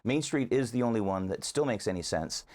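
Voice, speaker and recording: A man's voice: medium pitch, expressive, a little fast, a little mellow. A man giving conversational interview answers as a local advocate, earnestly arguing his case point by point.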